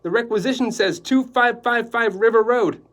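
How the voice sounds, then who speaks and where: A man reading aloud and voicing a loud, breezy, joking male photographer. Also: very high voice, moderate pace, voice pushed up. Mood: brash.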